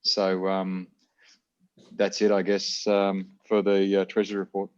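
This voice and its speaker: An Australian man gives a treasurer's report over a video call, his low voice staying flat. He halts and restarts often. The audio is dull and lo-fi. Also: smooth. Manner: halting, many fillers and restarts. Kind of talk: online meeting report.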